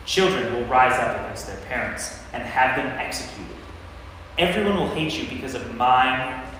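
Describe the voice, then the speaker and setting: A man's voice: medium pitch, bright, forceful tone. Commanding teacher in an echoing church, acted by a man. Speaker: lay performer in a church staged reading. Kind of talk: staged scripture reading. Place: large reverberant church. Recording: echoey church, distant mic, faint noise.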